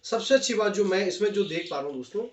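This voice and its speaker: High-pitched Indian man reviewing a gadget. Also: lively intonation, fast talker. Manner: mixes in English words and phrases, addresses viewers as friends. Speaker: product reviewer. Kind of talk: casual unboxing and review, explaining step by step. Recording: dull lo-fi sound, faint noise, clicks.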